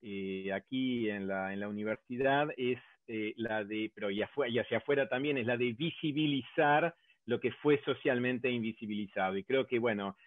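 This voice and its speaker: An Argentine man on an academic panel, building his point step by step and pausing on fillers. His voice comes through a narrow, phone-like remote connection with no room sound at all. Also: mid-range voice, dry recording. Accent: Argentine Spanish. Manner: hesitant, many fillers, builds arguments step by step. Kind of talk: round-table discussion. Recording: dry, tinny phone-like line.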